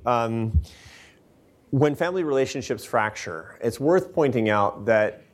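A man giving earnest, emphatic answers to audience questions after a talk, often restarting his sentences. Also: lowish voice. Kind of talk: lecture Q&A answers.

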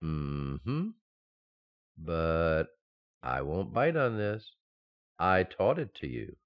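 An actor playing an excitable, befuddled, affectionate husband in a 1940s-style radio sitcom, with stammering comic delivery. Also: male voice, deep voice, drawn-out words, lo-fi sound, exaggerated voice. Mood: drowsy, amused.

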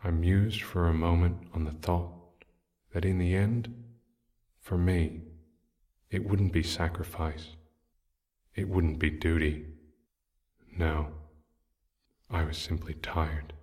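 A man playing a weary, bitter old painter in an audio drama, speaking in a grave, deliberate and brooding way. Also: sits low, fairly even intonation, a little mellow. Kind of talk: audio drama narration and dialogue.

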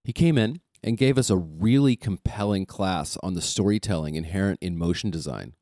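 Low-voiced male podcast host. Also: lively intonation, normal speed, dark tone, no echo, studio quality. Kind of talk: scripted show intro and interview questions.

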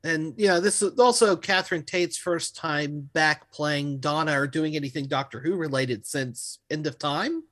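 A man's voice: a little high, normal speed, few pauses, fairly bright tone. A man hosting a casual panel review, talking in a wry, rambling way and often qualifying what he says. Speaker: podcast host reviewing audio dramas.

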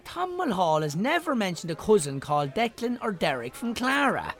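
A man's voice: high-pitched, big pitch swings, moderate pace, a bit bright. An actor with an Irish accent playing a chatty, opinionated barman in a naturalistic radio drama. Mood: insistent. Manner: chatty, colloquial, emphatic.

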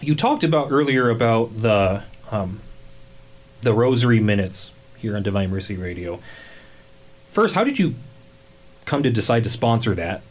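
A man hosting a radio interview, putting his questions to the guest in a measured, point-by-point way. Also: lowish voice, expressive. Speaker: radio host.

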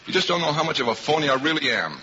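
An actor playing an earnest, self-doubting young baseball slugger in a vintage radio drama, slangy and emphatic. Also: male voice, fairly high pitch, big pitch swings, racing, pushed and bright. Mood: dejected, insistent. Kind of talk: 1950s radio drama acting and first-person narration. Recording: noisy, muffled vintage radio recording.

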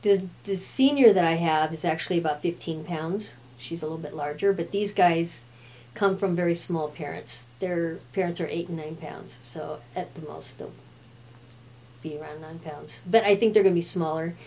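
A woman's voice: lowish voice, moderate pace, warm tone. A woman in her fifties, a guest who grew up in Brazil with Portuguese as her first language, chatting casually and laughing often. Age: 50s. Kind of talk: casual chat.

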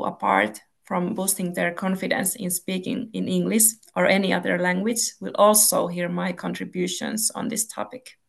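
Finnish-accented woman hosting, gravelly edge. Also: medium pitch. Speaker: podcast host and Finnish language lecturer. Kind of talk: podcast hosting, partly read intro.

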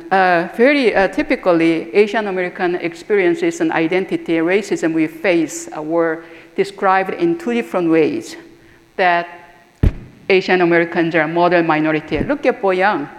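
A middle-aged woman from Korea with a Korean accent, a theological school dean giving an earnest, reflective talk and often pausing with "uh". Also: on the low side. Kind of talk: personal talk or lecture. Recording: echoey hall with long reverb.

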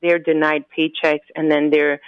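A woman's voice: deep voice, flat delivery, normal speed, no echo. Woman on a tinny phone line. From United States. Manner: earnest, methodical, lays out points in groups. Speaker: activist guest. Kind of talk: explanatory interview answers.

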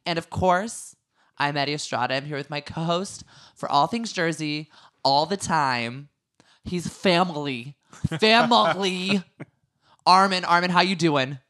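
A man's voice: a little high, unhurried, bright, forceful tone. A man hosting a casual podcast, campy and sassy, his voice a little scratchy from being sick. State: slightly hoarse. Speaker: podcast host. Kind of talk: casual chat.

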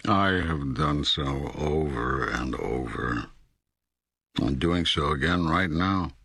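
God as an all-knowing teacher in an audiobook dialogue. The voice is extremely low and heavily gravel-rough, recorded dry and close. Each phrase is deliberate and emphatic, with patient authority. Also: male voice, animated, pushed and bright, dry recording, exaggerated voice. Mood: patient, explaining.

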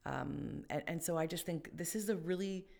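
A woman's voice: low voice, normal speed, vocal fry, a little mellow. A woman co-hosting a faith podcast, talking casually and thoughtfully, with many fillers and restarts. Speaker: podcast co-host.

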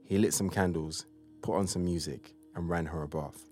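A man narrating an audio play in a measured, scripted storytelling style. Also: low voice, fairly even intonation, a little mellow, soft background music.

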